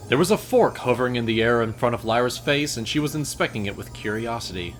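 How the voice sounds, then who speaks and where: A man's voice: mid-range voice, animated, fast, soft background music. A man delivering scripted story narration as the narrator of an audio drama.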